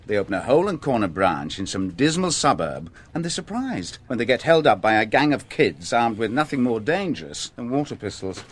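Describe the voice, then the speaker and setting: A man's voice: medium pitch, sing-song, a little fast, few pauses, husky. A man acting a wry, put-upon police detective in a radio drama, with a dry, sardonic manner. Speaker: lead actor in a radio drama.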